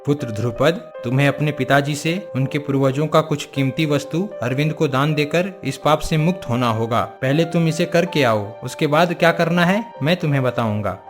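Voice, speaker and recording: A grave, all-knowing guru acted by a man in a cartoon moral tale. He instructs in formal, solemn phrases, in a slightly high voice with music underneath. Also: normal speed, hardly any pauses, close to an everyday voice. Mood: solemn, instructive. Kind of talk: Hindi cartoon moral-story acting.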